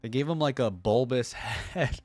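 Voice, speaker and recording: A man's voice: medium pitch, measured pace, no room sound, faint music behind. A man hosting a reaction video, giving casual, informal commentary and thinking aloud as he goes.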